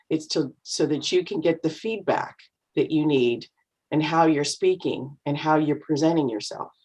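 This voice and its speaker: Low-voiced woman coaching an online English class. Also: a little mellow, rough recording. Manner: encouraging, explanatory, acts out nervous voices. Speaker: co-host and speaking coach. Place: video call.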